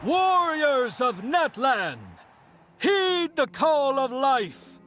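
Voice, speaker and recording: A man's voice: extremely high pitch, fairly bright tone, exaggerated voice. A man voicing a ceremonial orator reciting a eulogy in a game, solemn and declamatory. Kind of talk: game voice acting.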